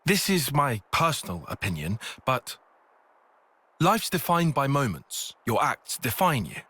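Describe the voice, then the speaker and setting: Husky, philosophical male soldier. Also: mid-range voice, very animated, pushed and bright, dry recording, exaggerated voice. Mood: solemn. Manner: deliberate, reflective. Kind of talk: dramatic game cutscene acting.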